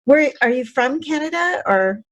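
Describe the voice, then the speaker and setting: A woman's voice: sits high, lively intonation, moderate pace, muffled recording. A woman hosting an interview show, introducing and chatting with her guest in a bubbly, enthusiastic way. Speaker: podcast host.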